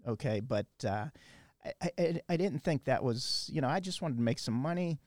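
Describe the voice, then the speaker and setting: A man's voice: mid-range voice, expressive, moderate pace, darkish tone, no echo, studio quality. A man in his sixties from western Pennsylvania, a podcast guest telling his story in a rambling way with many fillers and restarts. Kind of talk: casual storytelling.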